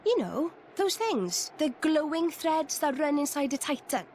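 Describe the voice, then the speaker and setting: A woman's voice: sits high, very animated, unhurried, darkish tone, slight background noise. A woman voicing a brash, teasing young female adventurer in a video game. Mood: blunt, casual. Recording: music behind the voice.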